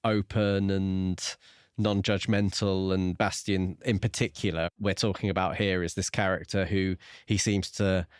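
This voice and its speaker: A man's voice: sits low, level tone, a little slow, clean tone, dry recording, clean studio sound. A man co-hosting a book podcast, discussing his views in a casual, conversational way. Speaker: podcast co-host reviewing books.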